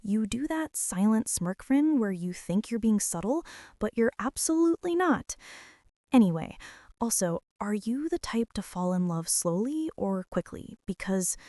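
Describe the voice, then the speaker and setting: Woman acting a bossy, flustered college girl, mellow voice. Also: fairly high pitch, dry recording, clean studio sound. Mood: insistent, flustered, then rambling, defensive. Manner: defensive, bossy, rambling, teasing. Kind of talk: girlfriend ASMR roleplay, intimate monologue to the listener.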